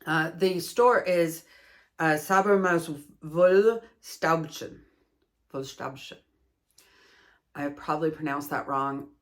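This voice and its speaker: Canadian woman, host of a craft review video, low-pitched and unhurried, rambling and self-deprecating. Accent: Canadian English. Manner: chatty and rambling, self-deprecating, laughs at herself. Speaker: yarn reviewer, channel host. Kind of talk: casual chat, product review.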